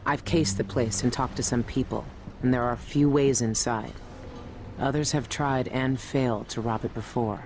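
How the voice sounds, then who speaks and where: Low-voiced, brooding assassin woman. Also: steady tone, normal speed, background noise. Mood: determined. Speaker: protagonist and narrator. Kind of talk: brooding scripted game narration and dialogue. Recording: music under the voice.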